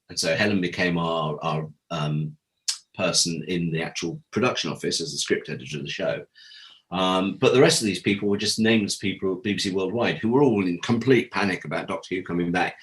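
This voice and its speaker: A man with a low, deep voice speaks as an interview guest. He tells his stories candidly, in a conversational storytelling style, and voices the people he quotes. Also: fairly bright tone, low-quality recording. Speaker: interview guest, former audio drama producer. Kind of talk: animated anecdotal interview talk.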